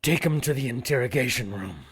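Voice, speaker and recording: An actor playing a sinister, gloating monk with a theatrical, drawn-out delivery in an audio drama. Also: male voice, medium pitch, expressive, breathy edge. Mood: outraged, vengeful.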